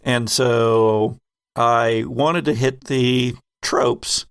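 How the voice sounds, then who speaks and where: Mid-pitched man, close dry sound. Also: fairly even intonation, very slow, clear, resonant tone, dry recording. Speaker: podcast game master. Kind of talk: casual, reflective group chat.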